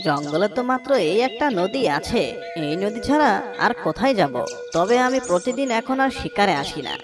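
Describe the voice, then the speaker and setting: Stork, kids' cartoon actress: chatty, knowing, animated, slightly distant recording with birdsong and music. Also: female voice, mid-range voice, a little fast, close to an everyday voice. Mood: explaining. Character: friendly, clever, boastful stork. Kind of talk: Bengali children's cartoon voice acting.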